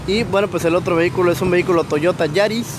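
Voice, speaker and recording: A man's voice: high voice, fairly even intonation, fast talker. A man gives a live, filler-heavy street report on a crash, filmed on a phone outdoors. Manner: informal reporting with many fillers. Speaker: local news reporter. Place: busy city street outdoors. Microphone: phone camera, reporter off screen. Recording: outdoor street with traffic noise.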